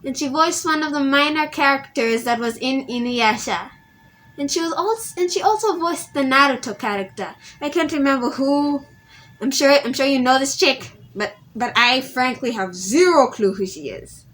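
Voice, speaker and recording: A woman's voice: high-pitched, animated, moderate pace, a bit bright, low-quality recording. A teenage girl talking casually to her viewers, rambling and often restarting her sentences. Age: teens. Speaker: vlogger. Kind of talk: casual vlog tribute.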